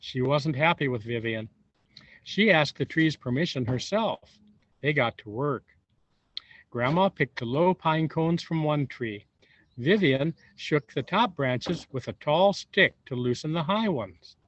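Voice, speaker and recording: A man reading a storybook aloud to young children, expressive and unhurried, pausing for wondering asides. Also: fairly high pitch. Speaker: volunteer storybook reader. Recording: roomy echo, dull lo-fi sound.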